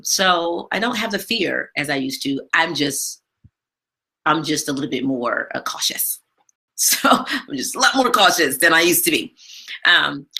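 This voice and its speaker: A woman hosting a live stream from home, chatting casually with lots of fillers and a big, loud voice. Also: on the low side, creaky. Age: adult with a daughter. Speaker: live stream show host. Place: home, not her usual studio.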